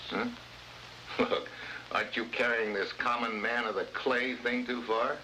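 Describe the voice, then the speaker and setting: An actor playing a tough, wisecracking American special agent, terse and sardonic, in 1950s television adventure style. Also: male voice, mid-range voice, fairly even intonation, measured pace, lightly acted. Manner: hard-boiled, terse, sardonic. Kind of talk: early 1950s TV adventure acting. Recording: noisy old 1950s television soundtrack.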